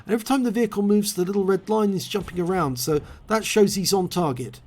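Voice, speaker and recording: A man with a hoarse voice after a cold, calmly explaining and calling the play in a video game replay. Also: sits high, quick pace.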